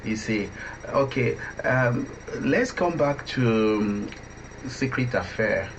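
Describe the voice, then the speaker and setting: A man's voice: medium pitch, expressive. Hesitant male interviewer, muffled lo-fi sound. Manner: hesitant, halting, repeats words. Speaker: interviewer, radio drama producer. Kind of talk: conversational interview. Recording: dull lo-fi audio with faint noise.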